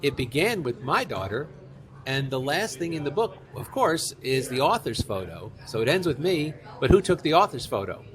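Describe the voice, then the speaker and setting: A man's voice: medium pitch, sing-song, normal speed, a crowd in the background. Talkative middle-aged man from New York. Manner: talkative, enthusiastic. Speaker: author, interview guest. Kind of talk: conversational interview, anecdotal storytelling.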